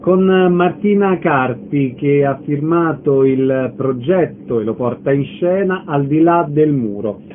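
A man's voice: fairly high pitch, slow pace, few pauses, faint music behind. A man hosting a radio show, announcing guests and show dates in a formal presenter tone. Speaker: radio host. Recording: tinny radio broadcast with faint noise.

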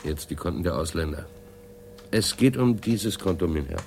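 Low-voiced, polite male assistant. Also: animated, normal speed, darkish tone, slight background noise. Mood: polite, businesslike. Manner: polite, explanatory. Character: deferential, methodical assistant presenting computer findings. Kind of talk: 1980s German radio play acting.

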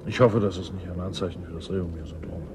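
A man's voice: low-pitched, steady tone, fast talker, background noise, close to an everyday voice. An actor playing a wry, courteous male scientist in a radio drama, absorbed in his own theories and speaking thoughtfully. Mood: wry, businesslike. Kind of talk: German radio drama acting, naturalistic dialogue.

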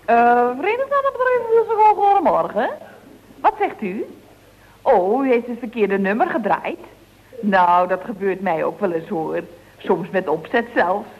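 An actress playing a chatty, scatterbrained young telephonist in an old radio comedy, chirpy and rambling. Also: female voice, a little high, big pitch swings, measured pace. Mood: chatty, helpful. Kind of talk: 1970s radio comedy acting. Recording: dull vintage radio recording.

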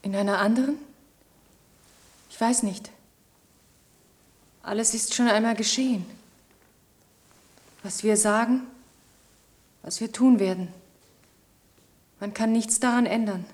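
An actress playing a dazed, fatalistic woman in a German radio play, speaking in short, halting phrases. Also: on the high side, a little mellow. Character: dazed, fatalistic woman emerging from a time experiment.

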